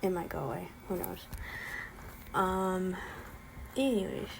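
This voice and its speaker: Gravelly-voiced young woman with a slight lisp. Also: on the low side, takes it slowly, noisy background. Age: young adult. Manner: rambling, frequent ums, exasperated. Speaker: vlogger. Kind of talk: casual spoken rant.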